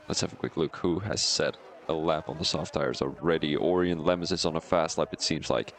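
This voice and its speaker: Excitable young Belgian man calling races. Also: deep voice, a little hiss or hum. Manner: excitable, laughs and rambles between calls. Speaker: sim racing commentator. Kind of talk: live stream race commentary.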